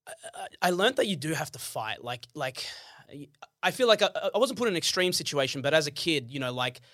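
Lively young man telling stories. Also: fairly high pitch, a little fast, dry recording, clean studio sound. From Australia. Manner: animated, slangy, acts out quotes. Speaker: podcast guest. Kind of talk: casual storytelling chat.

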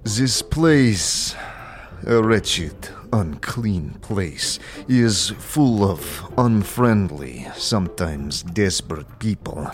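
An actor playing a wary but friendly goat-man warrior in an audio drama, speaking gruffly and with a teasing edge. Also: on the low side, lively intonation, drawn-out words, soft background music. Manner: gruff, teasing, calls listener "Two Legs".

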